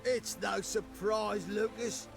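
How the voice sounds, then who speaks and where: A man's voice: high voice, fairly even intonation, slow pace, gravelly, music in the background, full character voice. An actor playing a menacing, drunken male thug who taunts in a drawl in a video game. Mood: taunting, menacing. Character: menacing, leering male ruffian, a drunken bigot. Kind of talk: video game villain acting.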